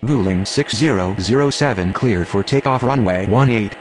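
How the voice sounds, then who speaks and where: A low male voice, acted by a man, works air traffic radio in a game. He is formal and businesslike, with clipped phrases over faint vehicle noise. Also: normal speed, lightly acted. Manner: formal, procedural.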